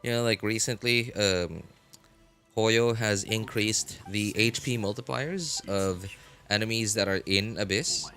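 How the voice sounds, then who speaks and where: A man hosting a game livestream, chatting casually in a halting, rambling way and often repeating and rephrasing himself. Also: on the low side, a bit bright. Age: adult, college graduate. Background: music.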